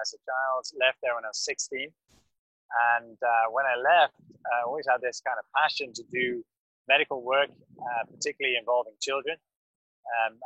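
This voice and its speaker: Male doctor guest recounting field work, even and measured, forceful bright voice, close dry mic. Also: mid-range voice, no echo, lo-fi sound. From grew up in West Africa. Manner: calm, measured storytelling with fillers. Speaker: pediatric doctor. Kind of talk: podcast interview, recounting experience.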